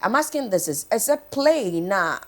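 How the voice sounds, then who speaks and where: A woman's voice: mid-range voice, sing-song, normal speed. A woman with a Ghanaian accent chatting casually as a morning TV host, switching between two languages. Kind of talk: casual TV chat, code-switching.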